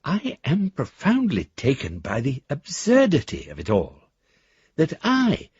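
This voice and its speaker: An actor playing a half-mad, disillusioned rich speculator in a radio drama, husky and theatrical, with florid, emphatic delivery. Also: male voice, a little high, huge pitch swings, a little slow, darkish tone, no room sound, highs cut off, heavily acted. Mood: bewildered, reflective. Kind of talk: dramatic radio monologue, letters read aloud.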